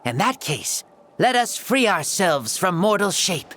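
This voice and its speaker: A boastful ancient warrior god in a boy's body, grandiose, lively pitch, game voice. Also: measured pace.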